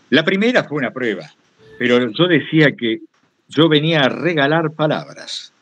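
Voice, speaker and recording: Animated Rioplatense Argentine man telling anecdotes. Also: medium pitch. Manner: digressive, acts out quoted remarks. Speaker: guest storyteller giving a talk. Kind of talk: casual anecdotal storytelling.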